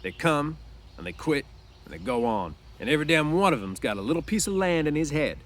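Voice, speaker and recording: A man's voice: fairly high pitch, big pitch swings, brisk, fairly bright tone, voice pushed up. A man voicing a lonely, bitter, scornful stable hand in a storybook, with folksy, ungrammatical ranch speech.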